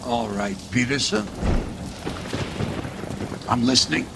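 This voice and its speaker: A man's voice: mid-range voice, sing-song, slow pace, vocal fry, noisy background, full character voice. A man playing a shrewd, sardonic senior police chief who probes for information, acted for a video game cutscene. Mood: sardonic, probing.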